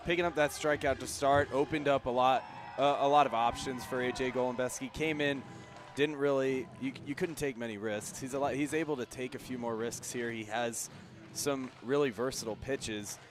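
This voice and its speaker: A man giving live baseball analysis on the radio in a conversational, slightly halting way, outdoors at a ballpark. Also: mid-range voice, fairly even intonation, over music. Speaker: color commentator.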